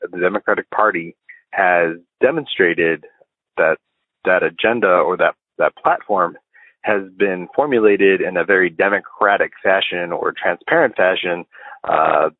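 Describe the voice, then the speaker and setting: An American man leaving a voicemail as a listener, giving informal political commentary in a measured, halting, deliberative way. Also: sits low, no echo. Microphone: phone. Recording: tinny band-limited phone voicemail line.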